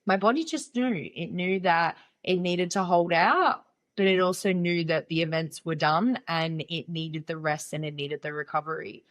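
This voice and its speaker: A woman's voice: lowish voice, moderate pace, fairly bright tone. A young woman hosting a casual solo podcast, with a nasal voice from a cold, talking in a candid, playful and chatty way. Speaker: podcast host.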